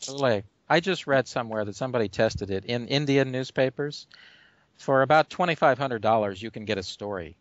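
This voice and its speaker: A man's voice: mid-range voice, fairly quick, dry recording. A man hosting a radio interview, chatty and loose, trailing off with fillers. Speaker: radio host. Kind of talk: casual interview talk. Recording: dull lo-fi audio.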